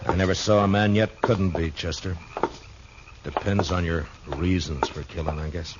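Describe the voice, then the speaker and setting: Deep-voiced, terse Old West marshal played by an actor. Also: male voice, fairly even intonation, moderate pace, heavy background noise, close to an everyday voice. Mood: watchful, low-key. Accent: American. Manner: terse, commanding, grim. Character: stern, world-weary Old West frontier marshal. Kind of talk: 1950s radio western acting and narration. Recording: muffled 1950s radio recording, music.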